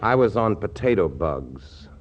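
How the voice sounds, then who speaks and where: A modest ex-soldier in a 1940s radio comedy. His lowish voice is understated and matter-of-fact, on a slightly dull vintage recording. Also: sing-song, a little slow. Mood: mild, earnest. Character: mild, unassuming ex-soldier bug scientist.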